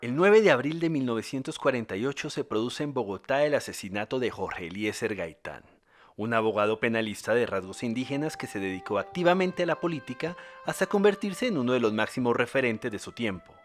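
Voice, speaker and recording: A man's voice: mid-range voice, animated, brisk, soft background music. A man reading scripted documentary narration as the narrator.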